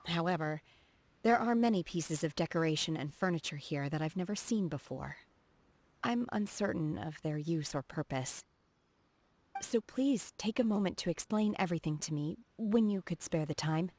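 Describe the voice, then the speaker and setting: A woman voicing a reserved, earnest, socially awkward young female martial disciple in a video game, speaking in a formal, measured way. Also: fairly low pitch, expressive, a little mellow, a little hiss or hum. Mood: polite, earnest. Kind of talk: video game character voice acting. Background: background music.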